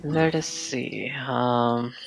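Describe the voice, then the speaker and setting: A man streaming a video game live, muttering quiet asides to himself, often near a whisper. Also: medium pitch, steady tone, extremely slow, gravelly, soft background music, low-quality recording.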